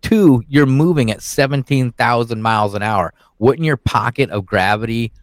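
A man's voice: mid-range voice, normal speed, dry recording. A man co-hosting a podcast, talking casually and rambling with frequent restarts. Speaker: podcast host. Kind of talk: casual conspiracy talk.

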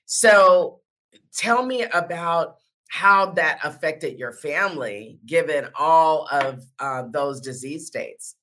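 A woman's voice: low voice, slow, fairly bright tone, rough recording. A woman hosting a conversational interview, responding to her guest with sympathy. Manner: sympathetic, reactive. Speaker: show host, interviewer.

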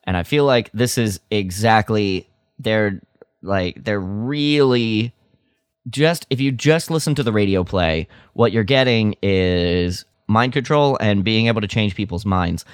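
Low-voiced man chatting casually, very dry sound. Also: a little slow, smooth, dry recording. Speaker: podcast co-host. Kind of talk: casual fan discussion.

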